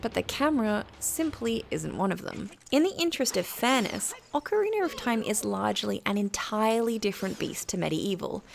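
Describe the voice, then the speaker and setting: A British woman reading a scripted review as narration, in a measured, articulate and formal way. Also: medium pitch, creaky, fairly bright tone.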